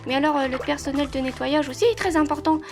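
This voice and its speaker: A woman's voice: sits high, fast talker, music in the background. A woman playing a young, eager, polite maid in a French roleplay audio drama, hesitant and apologetic, with a stammering formal manner. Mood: earnest chatter.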